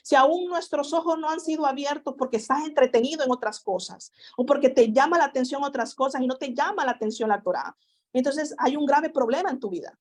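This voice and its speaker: A woman teaching a sermon over an internet call, repeating phrases and exhorting her listeners. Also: sits high. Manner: slow, repetitive preaching with exhortations. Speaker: Bible teacher. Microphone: internet call connection.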